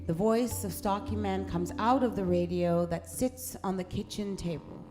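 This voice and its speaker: A woman narrating a literary story in a measured, deliberate way. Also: mid-range voice, steady tone. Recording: music playing behind the voice. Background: instrumental music.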